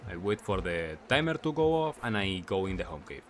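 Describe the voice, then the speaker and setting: Low-voiced man giving gameplay commentary. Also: big pitch swings, a little fast, a bit bright, a little hiss or hum. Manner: asks himself questions and answers them. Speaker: gaming video creator.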